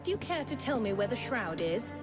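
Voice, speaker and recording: An actress playing a cold, menacing female Templar villain in a Victorian video game, speaking in a measured, taunting, commanding way. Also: a little high, sing-song, over loud music. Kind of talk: dramatic video game cutscene acting.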